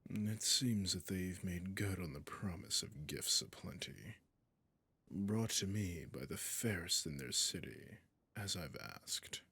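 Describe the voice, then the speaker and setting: An imperious dragon king acted by a man with a very low voice. He speaks very slowly and formally in a husky, breathy near-whisper. He is possessive and seductive with quiet menace, as in a fantasy audio roleplay. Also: no room sound, heavily acted. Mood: smug, menacing. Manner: slow, deliberate, haughty, archaic formal phrasing. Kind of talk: roleplay audio drama, addressing the listener.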